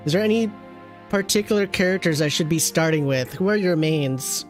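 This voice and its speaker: Game streamer, middle pitch, casual live commentary, rambling, teasing, chatty, faint background music. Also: a little fast.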